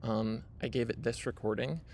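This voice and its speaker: A man's voice: medium pitch, normal speed, darkish tone, slight background noise. A man hosting his own video, casually walking viewers through his screen with lots of fillers and "right?" tags. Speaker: video host. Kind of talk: casual screen-share walkthrough.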